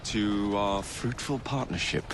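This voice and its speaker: Man acting a cocky, low-voiced young English assassin. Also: steady tone, unhurried, noisy background, lightly acted. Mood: casual, assured. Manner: sardonic, flippant banter. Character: cocky, teasing young male Victorian assassin. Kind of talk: video game cutscene acting. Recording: game dialogue over clear urban ambience.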